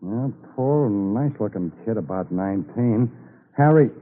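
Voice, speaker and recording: An actor playing a brash, slangy, talkative city neighbour, cocky and excitable, in a 1950s radio drama. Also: male voice, fairly low pitch, measured pace. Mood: chatty. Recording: tinny old radio recording.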